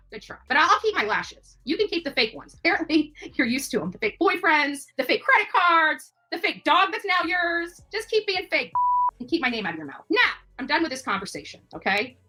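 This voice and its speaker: Woman, livestreamer, combative, sassy, scornful, high voice, swooping pitch. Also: very animated, fairly quick, bright, forceful tone. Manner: combative, sassy, scornful, calls target "babe" and "honey". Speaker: YouTube live streamer. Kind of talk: ranting livestream callout. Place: her home, alone.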